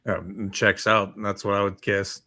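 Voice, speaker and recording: A man chatting casually as a talk show co-host, agreeable, with loose and halting phrasing. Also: fairly low pitch, flat delivery, pushed and bright. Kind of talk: casual morning show chat.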